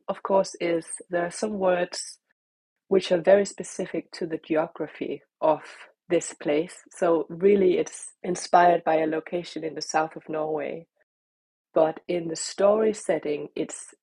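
Low husky Danish-born woman author. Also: a little mellow, no echo, poor audio. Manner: thoughtful, searching for words, restarts. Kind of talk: interview answers.

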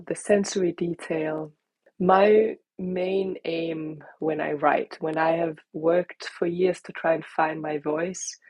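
A Danish-born woman author, answering interview questions thoughtfully and pausing to search for words. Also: low voice, darkish tone, slightly rough, no room sound, rough recording.

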